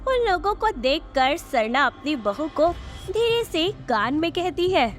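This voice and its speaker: A woman's voice: sits high, big pitch swings, unhurried, soft background music. A woman reading scripted narration for a moral story as its narrator.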